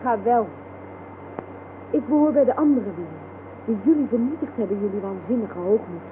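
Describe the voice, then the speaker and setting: A woman's voice: a little high, lively intonation, moderate pace, lightly acted. An actress playing a bitter, defiant woman in a radio play, speaking with mocking scorn. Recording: noisy, thin phone-like old radio recording.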